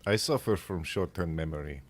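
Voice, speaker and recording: Very deep-voiced man, casual role-play. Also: a little fast. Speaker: tabletop game player.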